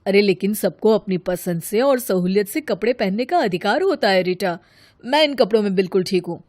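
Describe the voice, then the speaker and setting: A woman's voice: mid-range voice, animated, brisk, darkish tone, voice pushed down, lightly acted. Woman acting a mild, exasperated husband. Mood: reasoning. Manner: reasoning, exasperated. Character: mild husband, protesting, easily giving in to wife.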